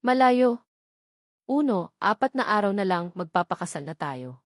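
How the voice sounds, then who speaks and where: A woman's voice: mid-range voice, expressive, a little slow, no echo, clean studio sound, lightly acted. A woman voicing an anxious, hesitant young mother and reluctant bride in a romance audio novel, questioning and unsure. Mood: startled, doubtful.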